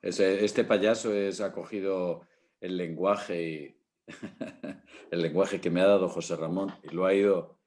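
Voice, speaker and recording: A man's voice: deep voice, fairly even intonation, moderate pace, darkish tone. A man with a Spanish accent from Spain, an actor chatting casually in a discussion, colloquial and repeating himself. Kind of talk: casual post-show discussion.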